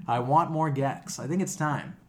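A man's voice: medium pitch, moderate pace, darkish tone. A man co-hosting a podcast in casual, irreverent, profanity-sprinkled chat about games. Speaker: podcast co-host.

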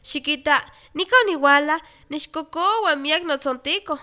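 A woman's voice: sits high, a little slow, pushed and bright. A woman playing a worried villager consulting a doctor in an educational radio drama, with a Mexican accent.

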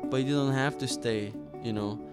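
A man's voice: mid-range voice, steady tone, normal speed, loud music behind the voice. Earnest man telling his story. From Iraq. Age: 30s. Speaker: interview guest. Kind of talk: personal storytelling in an interview.

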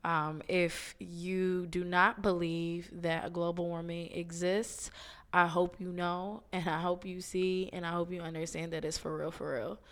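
Hoarse thirty-year-old woman, casual podcast host. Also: on the low side, measured pace. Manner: relaxed, slangy, with many ums. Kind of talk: casual chat.

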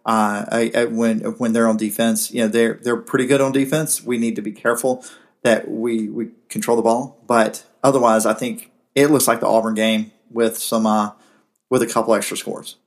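A Southern-accented man co-hosting a relaxed sports chat at an ordinary pitch, circling his points and often starting sentences over. He talks loosely and conversationally, drifting from one opinion to the next. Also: moderate pace. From South Georgia. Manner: rambling, frequent restarts. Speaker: co-host. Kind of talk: casual sports talk.

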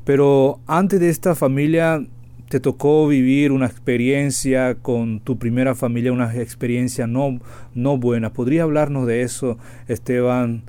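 A man's voice: medium pitch, fairly even intonation, normal speed, dark tone, clean tone. A man hosting a radio programme, presenting and interviewing guests. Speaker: host.

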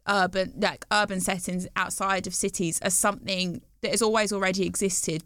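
Woman from the West Midlands, chatty co-host, gravelly edge, dry close mic. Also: mid-range voice, no echo. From West Midlands, England. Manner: animated, laughs easily, playful teasing. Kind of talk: casual discussion.

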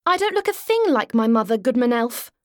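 A woman's voice: fairly high pitch, big pitch swings, a little fast, dry recording, clean studio sound. A woman voicing a pert, matter-of-fact ten-year-old girl in a storybook, with a prim, sniffy manner.

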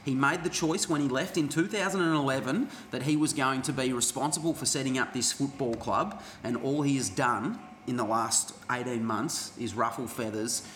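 A man's voice: mid-range voice, moderate pace, few pauses, a bit bright. An Australian man co-hosting a sports podcast with casual banter, phlegmy from a cold. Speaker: podcast co-host. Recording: roomy echo, faint background noise.

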